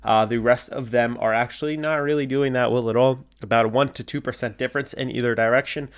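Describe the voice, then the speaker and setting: A man's voice: medium pitch, steady tone, fast. A man hosting a casual cryptocurrency video, sounding congested from a cold and talking loosely with lots of fillers. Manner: filler-heavy, loose, reads articles aloud. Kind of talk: casual market commentary to camera.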